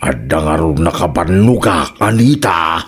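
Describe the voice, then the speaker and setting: A man's voice: low-pitched, animated, measured pace, slightly rough, heavily acted. A man playing a drowsy, teasing middle-aged village father in a comedy radio drama, with a Filipino Ilocano accent. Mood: weary.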